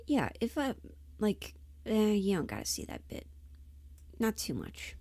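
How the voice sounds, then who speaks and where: A woman's voice: medium pitch, very animated, a little slow, dark tone, dry recording. A woman chatting casually on a livestream, informal and rambling, muttering asides to herself as she thinks aloud. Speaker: livestream host and amateur voice performer.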